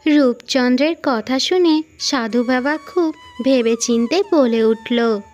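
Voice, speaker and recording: Female storyteller for cartoon fairy tales, Indian Bengali accent, higher-pitched, slow and measured over music. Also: a little mellow. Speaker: storyteller narrator. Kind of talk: scripted fairy-tale narration.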